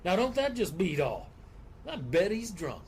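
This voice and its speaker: An actor playing a surly, bigoted 1940s Southern bus driver in an audio drama, with a gruff, folksy drawl. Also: male voice, high-pitched, very animated, measured pace, a little hiss or hum. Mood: indignant.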